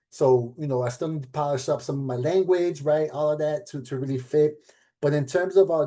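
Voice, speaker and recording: A middle-aged man talks loosely to a room as a program director. His voice is warm and mellow, and he leans on fillers and rhetorical "right?" questions. He lights up when he quotes others. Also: on the high side. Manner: animated when quoting others. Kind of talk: casual talk at an event panel.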